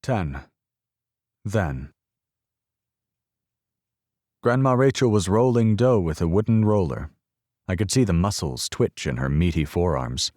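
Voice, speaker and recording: A man narrating an audiobook in the first person, measured and expressive. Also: low-pitched, no echo, studio quality.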